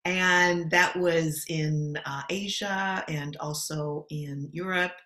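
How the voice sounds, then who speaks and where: A middle-aged American woman from Los Angeles, answering interview questions in an articulate, measured way. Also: sits low, fairly even intonation, pushed and bright. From Los Angeles, USA. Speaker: guest. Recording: roomy echo.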